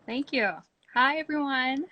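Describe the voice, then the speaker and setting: Slow, bright-voiced woman. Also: high voice. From Tanzania. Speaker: interview guest, businesswoman. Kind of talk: casual interview answers. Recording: slightly noisy online call.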